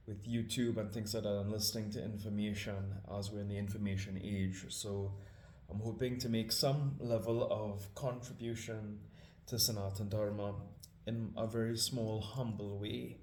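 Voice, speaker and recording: A Trinidadian man with a fairly low voice talks casually and humbly, stopping often to hunt for words. The recording carries a noticeable room echo. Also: steady tone, normal speed, a little mellow, a little hiss or hum. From Trinidad and Tobago. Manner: hesitant, many ums, humble and apologetic. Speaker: scripture reader. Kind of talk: casual spoken introduction.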